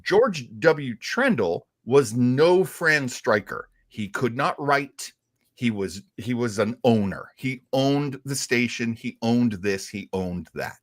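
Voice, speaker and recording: Animated, unhurried man hosting a show. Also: mid-range voice, no echo, clean studio sound. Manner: animated, deliberate storyteller with emphatic repetition. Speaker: radio show host. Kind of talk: casual storytelling talk show.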